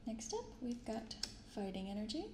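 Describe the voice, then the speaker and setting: A young adult woman speaking softly and unhurriedly in a hushed ASMR style as she hosts a casual show-and-tell. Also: on the high side, sing-song. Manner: hushed, unhurried reading aloud and chatting. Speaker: YouTube card-opening host. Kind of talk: soft-spoken ASMR, casual commentary. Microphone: close binaural microphone. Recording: roomy sound with clear background noise.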